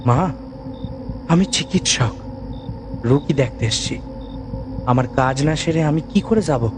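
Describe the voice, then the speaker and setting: Man acting higher-voiced, earnest village physician. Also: animated, slow, background noise. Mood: respectful, puzzled. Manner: pleading, deferential. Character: humble, earnest village physician, respectful and amazed. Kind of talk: dramatized horror audio story.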